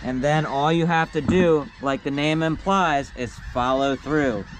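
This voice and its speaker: A young man, a sailing instructor, calmly walking through tying a knot step by step, recorded outdoors on a boat deck. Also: fairly high pitch, unhurried, a little hiss or hum, poor audio. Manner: patient, step-by-step, plain-spoken. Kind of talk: hands-on knot-tying demonstration.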